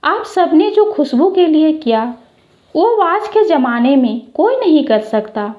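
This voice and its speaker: A poor housemaid and mother, acted by a woman storyteller. She speaks slowly and deferentially in a high voice, humble and pleading, as in a moral tale. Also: big pitch swings, lo-fi sound. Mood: grateful.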